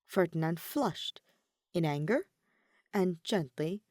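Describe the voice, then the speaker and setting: A woman narrating a story in an expressive audiobook style. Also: lowish voice, slow, no echo, studio quality. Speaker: podfic reader, narrator.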